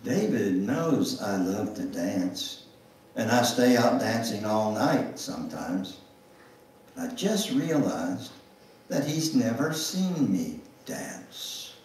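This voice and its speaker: An older man gives a dramatic memoir reading in a church, theatrical and deliberate, drawing out words and pausing for emphasis. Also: on the low side, a little mellow, distant mic, a little hiss or hum. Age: older, 70s or above. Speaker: guest speaker reading at a church. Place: church sanctuary, indoors at the front. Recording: roomy, echoing church sound from afar.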